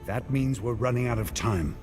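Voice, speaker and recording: A man's voice: medium pitch, moderate pace, natural voice. A man playing a grim, resolute warrior hero in a video game, terse and stern in his delivery. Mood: skeptical, terse. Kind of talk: video game cutscene voice acting. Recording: long reverb with music behind. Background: game music.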